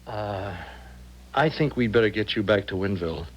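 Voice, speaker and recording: An actor playing a worried, reasonable husband, halting and placating, in 1970s radio drama style. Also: male voice, fairly low pitch, lively intonation, dull audio. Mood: alarmed, placating.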